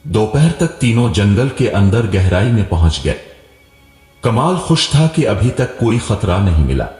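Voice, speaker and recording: A man's voice: lowish voice, darkish tone. A man narrating a scripted moral story as a storyteller. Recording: roomy echo with long reverb, music behind. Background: music.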